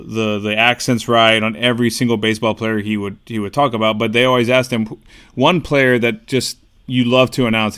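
A man's voice: on the low side, fairly bright tone, smooth. A man co-hosting a sports podcast, asking conversational, rambling questions in a casual, attentive way. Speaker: podcast interviewer, co-host. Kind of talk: casual sports interview chat.

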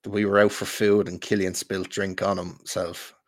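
Irish male podcast host, lower voice, blunt sweary storyteller, close dry sound. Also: flat delivery, normal speed, no echo. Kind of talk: casual chat with friends.

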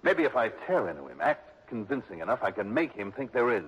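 A man's voice: lowish voice, sing-song, a little fast, heavy background noise. An actor playing a tough, sardonic male insurance investigator in a 1960s radio drama, with a clipped, wry, pressing delivery. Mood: probing. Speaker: lead actor. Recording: muffled old radio broadcast.

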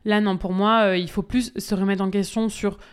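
A woman's voice: mid-range voice, flat delivery, normal speed, clear, resonant tone. A young woman hosting a casual solo podcast, giving direct, chatty answers full of fillers as a business coach. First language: French. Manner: chatty, direct, many fillers, talks straight to listeners. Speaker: podcast host, social media business coach.

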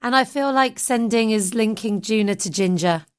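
A woman with a slightly croaky voice co-hosts a tabletop game podcast. She talks in a casual, playful way and laughs often. Her voice sits a little high for a woman. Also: normal speed. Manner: giggly, playful. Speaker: podcast co-host.

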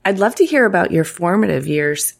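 A woman hosting a podcast, introducing her guest and asking questions in a clear, friendly way. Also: lowish voice, expressive, a little fast. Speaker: podcast host. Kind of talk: interview hosting. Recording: music playing behind the voice.

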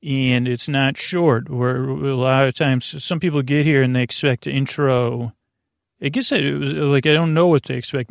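A man hosting a bedtime podcast, talking through a long, meandering, unhurried intro meant to ease listeners toward sleep. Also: medium pitch, gravelly, dry recording, clean studio sound. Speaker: podcast host.

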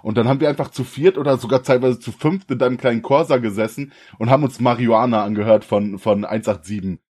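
A young man from the co-hosting a casual podcast, slangy and relaxed, often laughing. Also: mid-range voice, quick pace. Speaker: podcast co-host. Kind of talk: casual chat.

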